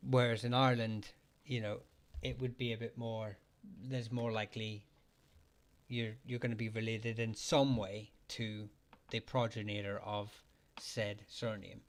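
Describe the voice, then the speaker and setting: A man hosting a live chat, talking haltingly with many fillers and restarts, his voice getting hoarse as he keeps clearing his throat. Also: on the low side, flat delivery. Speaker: livestream host answering surname questions. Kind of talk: casual live-chat talk.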